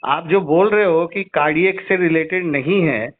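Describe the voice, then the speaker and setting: A man's voice: fairly high pitch, normal speed. A middle-aged man arguing as a customer on a phone complaint, insistently challenging and repeating his questions. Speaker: caller, insurance customer and patient. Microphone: phone call. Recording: narrowband phone call recording.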